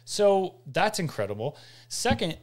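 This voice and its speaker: A man's voice: sits high, big pitch swings, a little slow, darkish tone. A man co-hosting a gaming show in casual, off-the-cuff conversation.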